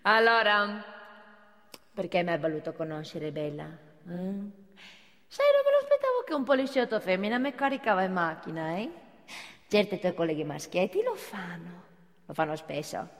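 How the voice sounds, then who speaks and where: Brash Roman streetwalker, provocative and very animated, radio play in a reverberant hall. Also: medium pitch, normal speed. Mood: coaxing. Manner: teasing, provocative, colloquial. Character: brash, teasing streetwalker chatting up a policewoman. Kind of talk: theatrical radio drama monologue.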